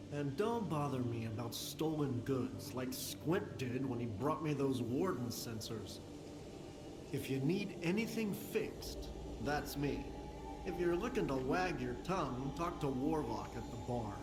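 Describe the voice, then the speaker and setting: A man's voice: mid-range voice, echoey room, background noise, close to an everyday voice. An actor playing a wry, chatty male technician in a post-apocalyptic video game. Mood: wry, welcoming.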